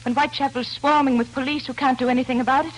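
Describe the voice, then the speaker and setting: Spirited, higher-voiced young showgirl. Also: steady tone, racing, poor audio. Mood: agitated. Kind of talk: 1940s radio drama acting. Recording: old 1940s radio broadcast with music.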